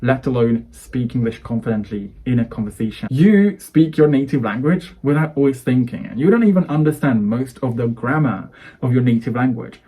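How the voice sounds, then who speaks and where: A man's voice: medium pitch, normal speed, warm tone, slightly rough, rough recording. A man from Hungary, a native Hungarian speaker with a Hungarian accent, giving an earnest, persuasive English-coaching lesson to camera. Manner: earnest, persuasive, builds lists of phrases.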